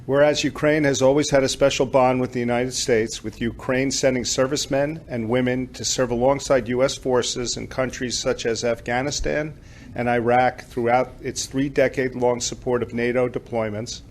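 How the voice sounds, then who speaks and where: A man's voice: mid-range voice, steady tone, normal speed, slight background noise. A man reading a formal proclamation aloud as a mayor at a public meeting. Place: indoor township council meeting.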